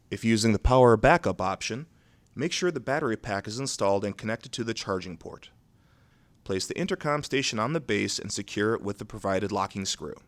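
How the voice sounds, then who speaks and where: A man with a fairly low voice narrates an instructional video. His delivery is scripted and methodical, keeping a neutral, measured tone as he moves from step to step.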